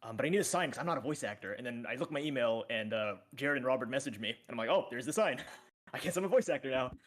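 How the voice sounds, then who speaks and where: A man voice actor excitedly telling how he got cast, in a casual livestream Q&A. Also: mid-range voice. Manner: excited, quick storytelling. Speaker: voice actor guest.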